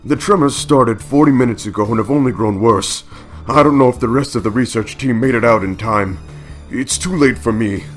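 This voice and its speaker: A man's voice: mid-range voice, normal speed, soft background music, lightly acted. A man voicing a panicked male researcher in a dramatic comic-book reading. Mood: desperate. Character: panicked male research scientist recording his last message.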